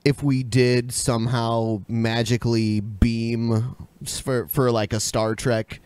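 A man's voice: medium pitch, level tone, takes it slowly, a little mellow, smooth, no echo. A man on a podcast panel debating politics casually, asking challenging questions with casual swearing.

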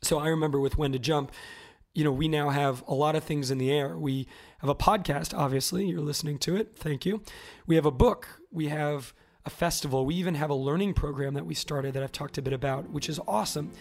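A man hosting a podcast interview, his voice scratchy and worn from overuse. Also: a little high. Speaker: podcast host.

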